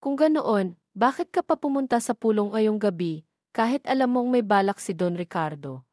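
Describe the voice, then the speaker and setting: Brief: skeptical young heroine, pointed and sarcastic, read by the narrator. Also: female voice, mid-range voice, animated, moderate pace, darkish tone, no room sound, studio quality, lightly acted. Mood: doubtful. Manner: doubtful, sarcastic. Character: wary young woman, sharp-tongued.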